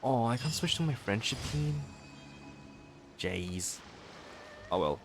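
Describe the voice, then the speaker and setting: A man's voice: medium pitch, animated, takes it slowly, over music. A man giving casual let's-play commentary on a live stream, muttering to himself as he plays. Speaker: live-streaming gamer, host.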